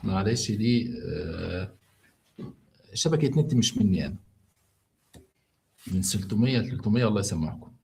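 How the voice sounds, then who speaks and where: A man with an Egyptian Arabic accent tells a story live to his viewers and sounds tired. His voice sits low and mellow. He keeps stopping to explain and often restarts mid-word. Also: animated, moderate pace, vocal fry, long pauses. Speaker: live stream storyteller host. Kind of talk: casual live stream storytelling.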